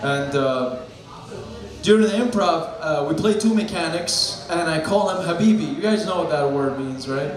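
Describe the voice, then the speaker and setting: A young man doing a deadpan stand-up set with dark jokes on a bar stage, talking into a handheld mic. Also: on the high side, moderate pace. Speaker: stand-up comedian. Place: small bar stage with a live crowd. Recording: echoey room with loud crowd.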